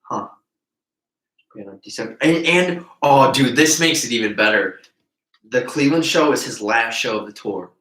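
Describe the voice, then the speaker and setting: A man of about twenty, co-hosting a casual podcast chat, rambling and laughing often as he gets excited. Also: medium pitch, moderate pace. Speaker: podcast co-host. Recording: roomy echo.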